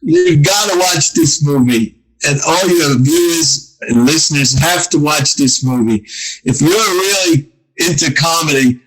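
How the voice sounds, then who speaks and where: A man guesting on a podcast as a comedian, talking in a rambling, halting way and sounding eager about what he likes. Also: on the high side, rough recording. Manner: rambling, halting with fillers, enthusiastic. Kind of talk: casual podcast chat.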